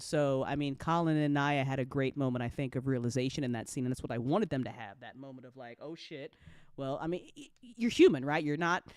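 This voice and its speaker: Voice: medium pitch, no room sound, clean studio sound. A person with a man's voice in casual chat, speaking emphatically and repeating key phrases for stress. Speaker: audio drama creator on a panel.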